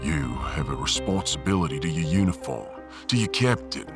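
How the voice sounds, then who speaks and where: A man acts a big, rough-hewn miner in a sci-fi audio drama. The voice is very deep, earnest and halting, with music playing behind it. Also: animated, exaggerated voice. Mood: remorseful, earnest. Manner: halting, earnest, plain-spoken. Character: big, remorseful, rough-hewn Australian refugee handyman, former miner. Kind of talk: sci-fi audiobook character acting.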